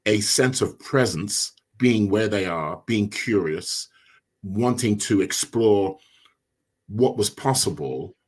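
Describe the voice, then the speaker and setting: A middle-aged immigrant man hosting a podcast, speaking in a measured, deliberate way. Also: on the low side, breathy edge. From immigrant, came as a child. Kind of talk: casual discussion.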